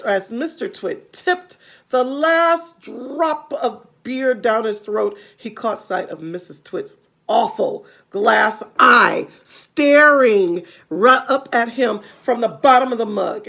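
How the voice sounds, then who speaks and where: Female children's storyteller: unhurried, creaky, expressive, with simple explanatory asides. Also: fairly high pitch. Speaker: read-aloud storyteller.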